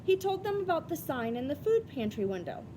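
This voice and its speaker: A woman's voice: big pitch swings, brisk. A woman teacher giving a read-aloud lesson for children, explaining things step by step in an instructional way. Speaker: elementary school reading teacher. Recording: rumbly background noise.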